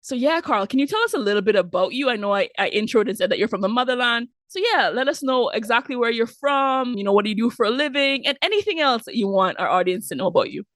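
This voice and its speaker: A woman hosting a podcast, upbeat and playful, talking quickly in a casual interview. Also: a little high, lively intonation, a bit bright, dry recording, clean studio sound. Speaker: podcast host.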